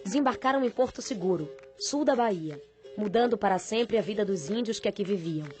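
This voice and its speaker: A woman reading scripted documentary narration in a measured, formal way. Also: medium pitch, lively intonation, music in the background.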